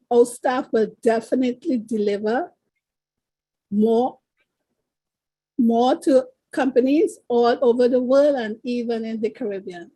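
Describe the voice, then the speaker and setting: Woman from trinidad and tobago, mellow husky voice, earnest, dry sound. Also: fairly high pitch, no echo, poor audio. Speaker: event participant giving feedback.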